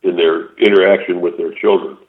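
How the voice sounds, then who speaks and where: An older man calls in on a muffled, lo-fi phone line and tells rambling stories. He keeps restarting his sentences, and his voice sits a little low. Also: level tone, fairly quick. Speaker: author guest. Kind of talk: casual storytelling interview. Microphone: phone call.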